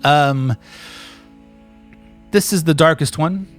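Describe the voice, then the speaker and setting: A man hosts a loose, joking conversation with his elderly mother, laughing often and slipping into goofy voices. Faint music runs quietly behind him. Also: fairly high pitch, slow. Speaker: host interviewing his mother. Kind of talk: casual chat.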